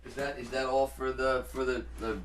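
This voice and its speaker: A man's voice: mid-range voice, little pitch movement, moderate pace, slight background noise. A man chatting casually in a hesitant way, restarting his words as he goes. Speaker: helper to a blind man. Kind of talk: casual chat.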